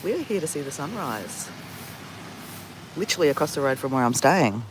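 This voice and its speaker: A man with a sore throat and a nasal, slightly higher voice talks casually to viewers on a live stream. He rambles on a beach at sunrise, speaking into a phone at arm's length. A faint outdoor hum sits behind him. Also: very animated, fairly quick. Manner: chatty, rambling, greets viewers by name. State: sore throat, has flu. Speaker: travel vlogger.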